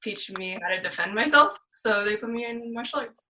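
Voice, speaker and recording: A woman's voice: mid-range voice, fairly quick, bright, forceful tone, a little hiss or hum, rough recording. A teenage young woman chatting casually as a podcast guest about herself. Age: teens. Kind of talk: casual chat.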